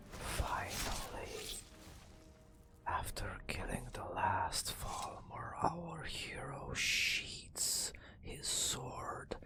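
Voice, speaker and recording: Male ASMR game narrator, low gravelly whisper, unhurried and hushed, storytelling style. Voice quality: breathy whisper. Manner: slow, hushed, dramatic narration with long pauses. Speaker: ASMR gaming host. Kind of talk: soft-spoken ASMR storytelling over fantasy gameplay.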